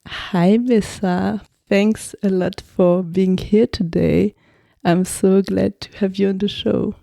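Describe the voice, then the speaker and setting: A woman hosting an interview podcast, speaking in a measured, articulate way as she gives scripted introductions and asks questions. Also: mid-range voice, fairly even intonation, gravelly, mellow, no room sound, clean studio sound.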